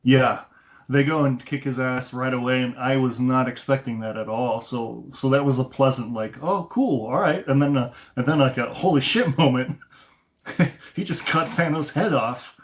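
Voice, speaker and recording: A man's voice: mid-range voice, moderate pace. A man co-hosting a casual film podcast over an internet call, rambling along in an informal, conversational way. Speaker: podcast co-host reviewing films. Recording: dull, lo-fi Skype call.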